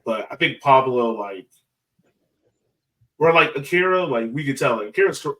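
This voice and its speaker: A man chatting casually and excitedly in slangy speech as a soccer fan podcast co-host. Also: medium pitch, moderate pace, poor audio. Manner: animated, slangy.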